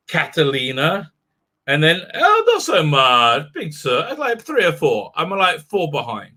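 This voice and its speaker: Livestream host, man, chatty and rambling, very bright tone. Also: mid-range voice. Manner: rambling, many fillers and restarts. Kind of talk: casual livestream chat.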